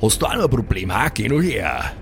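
A man's voice: on the low side, sing-song, fairly quick, gravelly, faint music behind. A man voicing a cocky brawler in a tabletop game, taunting and challenging.

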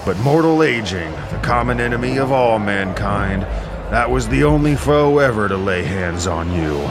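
A man's voice: lowish voice, animated, normal speed, over music. A man playing a haughty, commanding Greek warlord king in an epic audio drama, with declamatory, rhetorical delivery. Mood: admiring, wistful.

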